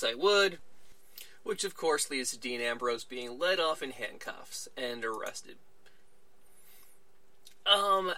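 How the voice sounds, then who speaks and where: Chatty man recapping wrestling, bright dry voice. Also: medium pitch, no room sound. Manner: chatty, retelling events with little quoted voices. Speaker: podcast host.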